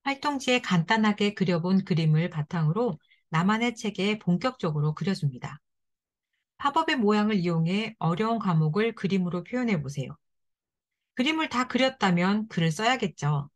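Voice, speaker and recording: A woman narrating step-by-step craft instructions for children in a gentle, encouraging way. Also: medium pitch, lively intonation, moderate pace. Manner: gentle, encouraging, speaks directly to kids.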